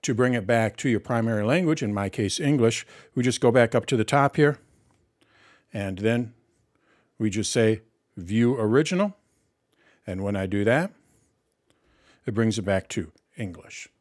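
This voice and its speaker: A man's voice: lowish voice, lively intonation, normal speed, long pauses, darkish tone, no echo, studio quality. A middle-aged man hosting a tech tutorial, explaining each step and answering his own questions. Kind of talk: screen-recorded tutorial walkthrough.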